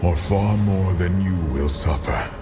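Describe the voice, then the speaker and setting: An actor playing a grave male archangel of justice in a fantasy game, giving measured, solemn counsel. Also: deep voice, animated. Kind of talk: dramatic fantasy game acting. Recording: loud music behind the voice.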